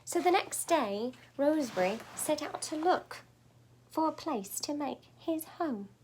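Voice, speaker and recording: A woman's voice: sits high, lively intonation, measured pace, darkish tone, slight background noise, low-quality recording. A woman reading a children's story aloud, expressively and with chatty little asides. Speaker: storybook reader.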